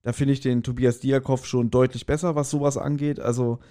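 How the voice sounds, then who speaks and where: A man's voice: mid-range voice, flat delivery, a little fast, dark tone. A man with a German accent co-hosting a podcast in casual chat about an audio drama, often hesitantly searching for words. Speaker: podcast co-host.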